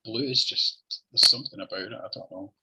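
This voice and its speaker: Husky, bright-voiced Scottish man. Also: lowish voice, fairly quick, poor audio. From Scotland. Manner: easygoing, chatty, repeats phrases. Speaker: musician guest. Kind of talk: relaxed interview chat.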